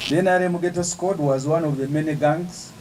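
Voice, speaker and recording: A man, an opposition politician giving an emphatic, measured press statement with pauses between phrases. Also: fairly high pitch, fairly even intonation, a little mellow, slight background noise, low-quality recording.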